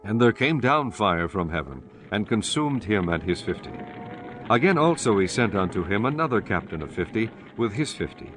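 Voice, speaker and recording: A man's voice: lowish voice, very animated, moderate pace. A man narrating a dramatized scripture audiobook in a solemn, formal, scripted style. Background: music.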